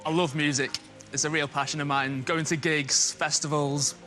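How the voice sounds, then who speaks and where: A man's voice: a little high, level tone, moderate pace, bright, forceful tone, soft background music. A man in his thirties from northern England chatting politely and agreeably as a quiz contestant. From Blackburn, northern England. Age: 30s. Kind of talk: conversational quiz answers.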